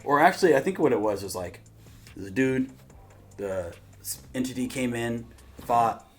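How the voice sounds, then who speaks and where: A man's voice: mid-range voice, animated, normal speed, soft background music. A man hosting a casual reaction discussion, thinking aloud in a rambling, informal, sweary way. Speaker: reaction show host.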